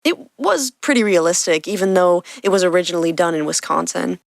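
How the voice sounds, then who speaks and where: A woman's voice: on the low side, fairly quick, vocal fry, no echo, studio quality. A woman podcast host reading a scripted story as its narrator.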